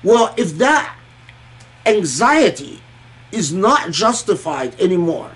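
A man from Egypt and Kuwait giving an informal scholarly commentary on scripture, thoughtful and halting, often repeating words and pausing. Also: high-pitched, sing-song.

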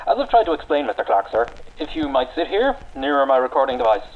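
An actor playing a polite, nervous English social historian with an English accent, formal and placating. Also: male voice, mid-range voice, big pitch swings, fast talker, dull audio, slight background noise, natural voice. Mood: earnest. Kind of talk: radio drama acting.

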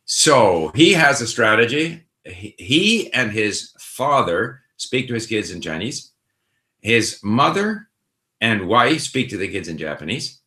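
A man's voice: fairly low pitch, very animated, slow pace, bright, forceful tone. A middle-aged man hosting a casual language-learning question session, talking in a thoughtful, conversational way with frequent fillers and restarts. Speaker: language-learning host answering viewer questions. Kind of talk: casual live Q&A. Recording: roomy echo.

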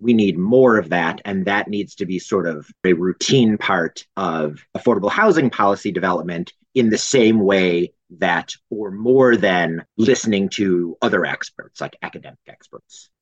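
A man's voice: low-pitched, moderate pace, hardly any pauses, no echo. A man speaking as an advocate in an interview, recorded in dull lo-fi audio. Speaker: housing justice advocate, interviewee. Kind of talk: interview remarks.

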